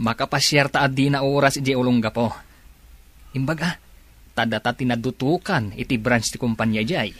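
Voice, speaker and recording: A man's voice: mid-range voice, normal speed, long pauses, fairly bright tone, dry recording, lightly acted. An actor playing a reassuring male buddy in a radio drama, with a Filipino Ilocano accent. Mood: upbeat.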